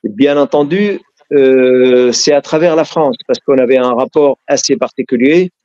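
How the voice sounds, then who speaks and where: A man's voice: medium pitch, measured pace, mellow, highs cut off. Earnest Syrian man with an Arabic accent. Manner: frequent restarts and hesitations, earnest. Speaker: interviewee. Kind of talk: casual storytelling interview.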